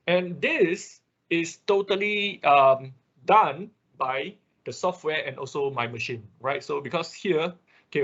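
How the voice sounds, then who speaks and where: Husky, bright-voiced male online instructor. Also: a little high, lo-fi sound. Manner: halting, step-by-step explaining with frequent check-in tags. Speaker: instructor of an online robotics class. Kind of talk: informal software tutorial with live demonstration.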